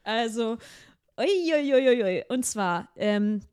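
A woman's voice: fairly high pitch, animated, takes it slowly, darkish tone, smooth. A young woman co-hosting a podcast in casual chat, rambling with lots of fillers and restarts. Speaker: podcast co-host.

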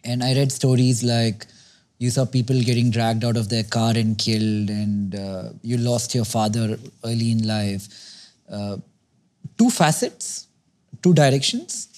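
Mellow-voiced male podcast host. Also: mid-range voice, measured pace. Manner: curious, probing questions. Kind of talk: conversational interviewing.

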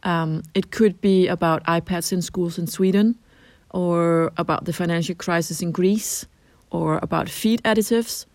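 A middle-aged Danish woman with a Danish accent, answering interview questions in a measured way with frequent ums and restarts. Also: fairly low pitch, fairly even intonation, a little mellow, no echo. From Denmark. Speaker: translator interviewee.

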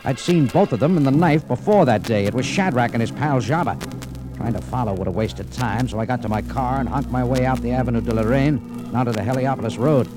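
An actor playing a tough, wry American cafe owner and amateur sleuth in a 1940s radio detective drama, speaking in a clipped, hard-boiled way. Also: male voice, medium pitch, fast talker, natural voice. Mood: tense, narrating. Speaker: lead actor and narrator. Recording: old radio recording with music.

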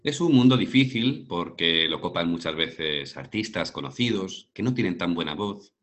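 A man around forty with a Castilian accent from Madrid speaks in a low-pitched voice. He is a voice-over professional giving conversational answers as an interview guest. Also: expressive, normal speed, fairly bright tone. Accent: Castilian Spanish from Madrid. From Madrid, Spain.

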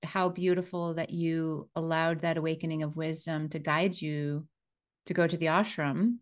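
A woman's voice: sits low, fairly even intonation, moderate pace. A woman hosting an interview podcast, affirming and encouraging her guest. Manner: warm, affirming, frequent murmured assent. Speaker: podcast host. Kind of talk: casual interview.

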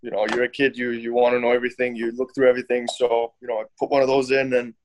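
A man answering interview questions in a relaxed, hesitant way, with many fillers and restarts; a professional hockey player born in Finland. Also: mid-range voice, fast, poor audio. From born in Finland, German citizen.

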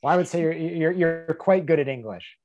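A man's voice: fairly high pitch, brisk, darkish tone, dull audio. A man interviewing a guest in a casual chat. He hesitates a lot, restarts his sentences and fills them with "like". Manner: hesitant, many restarts and fillers.

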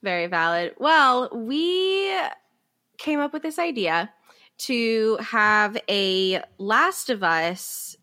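A woman's voice: medium pitch, pushed and bright. Young female podcast host, bubbly, laughs often. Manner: bubbly, giggly, quick to laugh. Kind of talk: casual podcast chat.

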